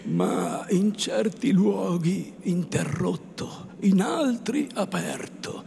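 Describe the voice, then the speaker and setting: Man playing a stern old friar, high booming shouts, gravelly, slow, echoey hall. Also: lively intonation, slight background noise, heavily acted. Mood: solemn counsel. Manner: solemn, rising to furious shouts. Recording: echoey hall, faint ambience.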